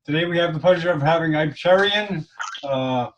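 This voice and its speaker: A man's voice: on the high side, moderate pace, fairly bright tone, slight background noise, rough recording. A man hosting and moderating a medical webinar over a video call, politely prompting the panelists to introduce themselves. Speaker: host.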